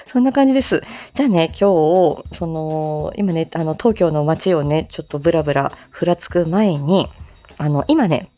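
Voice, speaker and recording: A woman's voice: sits low, big pitch swings, measured pace, dark tone, soft background music. A woman chatting casually on a morning livestream in a polite, giggly, talkative way. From Japan, outside Tokyo. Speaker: livestream host, amateur voice drama performer.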